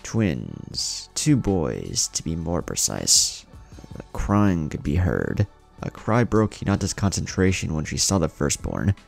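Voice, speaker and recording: A man with a gravelly voice reading a dramatic scripted story as its narrator. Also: sits low, huge pitch swings, slow. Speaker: fan fiction narrator. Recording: music under the voice. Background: background music.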